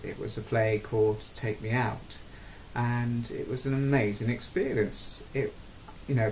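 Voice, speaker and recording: A man's voice: medium pitch, a little mellow, a little hiss or hum, rough recording. A man telling a personal story to camera in a conversational way, as a theatre-goer. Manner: conversational, with fillers and restarts. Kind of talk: personal account told to camera.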